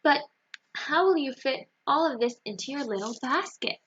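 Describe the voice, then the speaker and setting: A woman's voice: high-pitched, animated, moderate pace, fairly bright tone, lo-fi sound, slight background noise. A woman voicing an agreeable, practical fishmonger man in a children's storybook.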